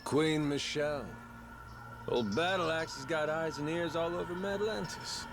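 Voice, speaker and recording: An actor playing a cocky, wild middle-aged pirate captain in a video game cutscene, slangy and taunting. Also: male voice, fairly high pitch, a little slow. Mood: teasing.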